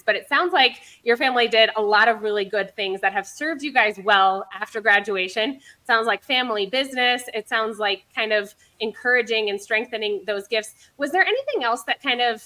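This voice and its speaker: Bright, upbeat woman interviewer. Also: medium pitch. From Virginia, USA. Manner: enthusiastic, affirming. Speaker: podcast host. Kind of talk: conversational interview. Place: home in Ecuador.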